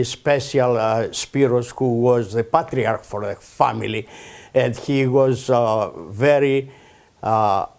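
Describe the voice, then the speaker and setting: Older Greek immigrant man, slow unhurried delivery, hesitant and halting, Greek accent, interview guest. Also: medium pitch, a little mellow. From Greece. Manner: halting, many pauses and fillers, broken English. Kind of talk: oral history interview.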